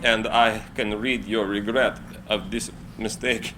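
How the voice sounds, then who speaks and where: Bright-voiced Nikola Tesla, earnest formal inventor, acted. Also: male voice, lowish voice, steady tone. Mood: hesitant, humbled. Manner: formal, halting, measured oratory. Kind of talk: screenplay table reading. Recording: faint background room noise.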